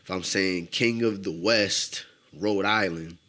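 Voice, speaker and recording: Man, rapper, fairly low voice, New York accent with a Midwest edge, laid-back rambling chat. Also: slow, bright, forceful tone. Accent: New York with a Midwest tinge. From upstate New York. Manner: laid-back, rambling, fills with "you know what I'm saying". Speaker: rapper and podcast guest. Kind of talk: casual podcast chat.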